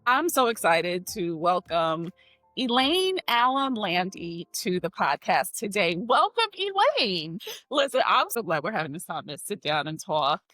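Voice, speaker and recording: A woman hosting a podcast interview, encouraging her guest with quick affirmations. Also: fairly low pitch, big pitch swings, pushed and bright, no room sound, faint music behind. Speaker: podcast host.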